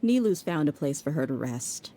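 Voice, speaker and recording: An actress voicing a tough female mercenary bodyguard who is blunt and casual but soft at heart, in a game cutscene. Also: deep voice, very animated, normal speed, full character voice. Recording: game score softly behind.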